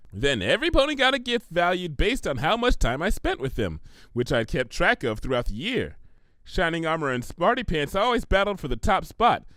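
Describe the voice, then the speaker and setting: A man's voice: sits high, a bit bright, dry recording. A man voicing a prim, studious young female unicorn pony with a precise, pedantic, dignified way of talking, in a fan fiction reading.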